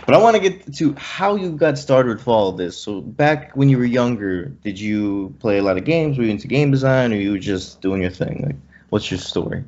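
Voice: medium pitch, lively intonation, moderate pace, darkish tone, highs cut off. A podcast host interviewing a guest in a casual, friendly way, laughing often and using slang.

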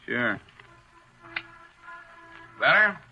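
A man's voice: medium pitch, big pitch swings, extremely slow, faint music behind, full character voice. A man acting a cynical, wisecracking hotel detective in 1940s radio style, sardonic, slangy and needling. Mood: casually cynical. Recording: muffled vintage radio recording.

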